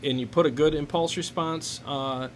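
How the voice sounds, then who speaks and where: A man's voice: on the high side, fairly even intonation, normal speed. A man hosting a casual live chat, talking in a jokey, enthusiastic way. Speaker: host of a live gear Q&A stream. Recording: noisy live stream recording.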